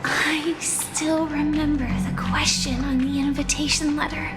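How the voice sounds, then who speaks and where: Casting: whimsical young female game character, high-pitched, speaking in riddles beneath loud music. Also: little pitch movement, measured pace. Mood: wistful. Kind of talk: dramatic video game dialogue.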